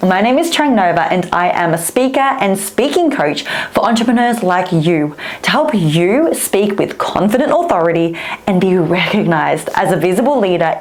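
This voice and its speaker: An upbeat woman speaking coach talks straight to the camera in a chatty, expressive way. Her first language is Vietnamese, and her voice sits at a middle pitch for a woman. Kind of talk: direct-to-camera coaching talk.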